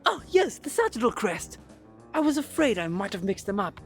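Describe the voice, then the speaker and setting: A woman's voice: mid-range voice, very animated, moderate pace, soft background music. A woman playing a polite, eager, slightly flustered hostess in a comedic audio drama. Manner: earnest, hesitant, searching for words.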